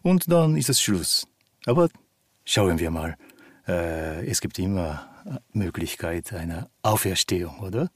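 Deep voiced guy in an interview, really slow, pauses hunting for words. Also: expressive, husky, no room sound. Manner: halting, searching for words. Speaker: voice actor being interviewed. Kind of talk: casual interview talk.

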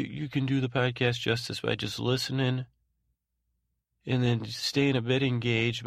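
A man hosting a bedtime podcast in a lulling, soothing tone, rambling haltingly, repeating himself and trailing off mid-phrase. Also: mid-range voice, vocal fry, no room sound, studio quality. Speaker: podcast host. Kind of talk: rambling bedtime monologue to put listeners to sleep.

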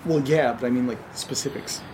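Man acting quick, practical, curious investigator. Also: medium pitch, fairly even intonation, darkish tone, slight background noise, lightly acted, poor audio. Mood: curious, prodding. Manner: casual, prodding. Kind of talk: amateur audio drama, naturalistic dialogue.

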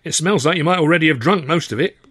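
A man's voice: a little high, fast talker, a bit bright, husky, no room sound, studio quality, voice pushed up. A man voicing a harassed, irritable middle-aged office manager in an audiobook, brusque and sarcastic. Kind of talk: audiobook character voice.

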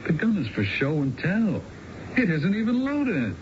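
Cocky hustler on muffled, noisy radio. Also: male voice, high-pitched, big pitch swings, measured pace. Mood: reassuring, cocky. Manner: slangy, breezy, self-assured. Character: cocky smooth-talking small-time male hustler and robber. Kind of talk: 1970s radio drama acting. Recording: dull, noisy old radio broadcast recording.

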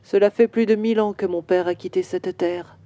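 A woman voicing a grieving male bronze statue in a children's audio story, slow and wistful. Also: mid-range voice, steady tone, dark tone, no room sound, natural voice. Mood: sorrowful.

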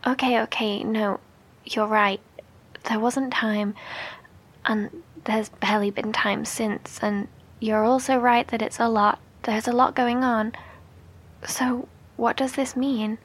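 A wary female survivor, played by a woman, shaken by catastrophe. Her voice sits high but is husky and breathy, delivered naturally as in an audio drama. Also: steady tone, slow pace, heavily acted. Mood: stunned, uneasy.

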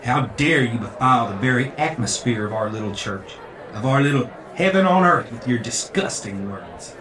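A man's voice: mid-range voice, animated, moderate pace, noisy background, close to an everyday voice. A man playing a crafty, greedy revival preacher in an audio drama, showy and folksy, full of rhetoric. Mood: mock outrage. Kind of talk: theatrical sermon in audio drama. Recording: echoey, distant voice with crowd.

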